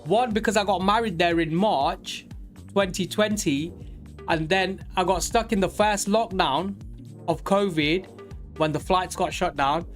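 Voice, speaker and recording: A man of forty talking casually and candidly on a live trading stream, rambling with many pauses. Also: high-pitched, faint music behind. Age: 40s. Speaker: livestream day trader.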